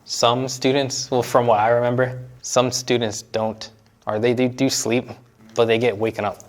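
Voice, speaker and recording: A young man from the United States chatting casually in an interview, relaxed and often laughing as he talks. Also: mid-range voice, normal speed. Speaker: interviewee, university student.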